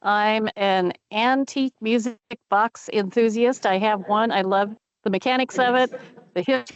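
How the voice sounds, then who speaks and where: A woman's voice: mid-range voice, unhurried, smooth, no room sound, highs cut off. An older retired woman chatting through introductions as committee chair on a call. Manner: chatty, friendly, with fillers. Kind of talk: meeting introductions.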